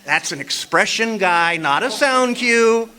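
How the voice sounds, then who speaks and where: Man playing a bright-voiced wisecracking private eye. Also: high-pitched, expressive, unhurried, slight background noise, exaggerated voice. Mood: exasperated. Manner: sardonic, hardboiled patter. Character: wisecracking hardboiled male private eye, exasperated narrator. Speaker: actor. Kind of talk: detective radio drama parody narration.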